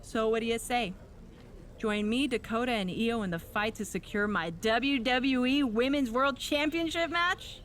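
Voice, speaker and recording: Game villain: scheming, snide female wrestler, fairly high voice, light background ambience. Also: unhurried, fairly bright tone, a little hiss or hum. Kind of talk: scripted game cutscene dialogue.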